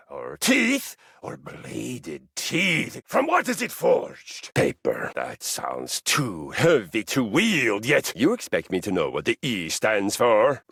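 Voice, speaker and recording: A bombastic warrior for a video game, pompous and in love with violence. The voice is gravelly and rough, swinging hugely in pitch. The phrases come slowly and grandly, in formal, boastful style. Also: male voice, a bit bright, no room sound, clean studio sound, heavily acted. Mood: puzzled, deliberate. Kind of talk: theatrical video game character acting.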